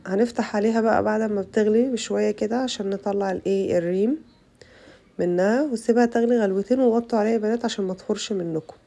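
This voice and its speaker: A young Egyptian woman with an Egyptian Arabic accent, her voice rough from a bad cold, chatting to her viewers through a casual cooking tutorial. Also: mid-range voice, steady tone, fast, warm tone, a little hiss or hum. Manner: chatty, addresses viewers as girls. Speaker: cooking channel host.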